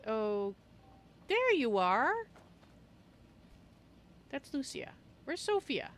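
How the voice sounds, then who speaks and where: A woman's voice: fairly high pitch, sing-song, extremely slow, a bit bright, a little hiss or hum. A woman playfully musing aloud as she narrates her video game roleplay in character.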